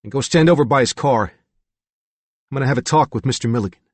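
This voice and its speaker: A man's voice: medium pitch, fast, dry recording, voice pushed up, natural voice. A man voicing a cocky young crime boss with a commanding, businesslike manner in an audiobook. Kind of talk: audiobook character dialogue.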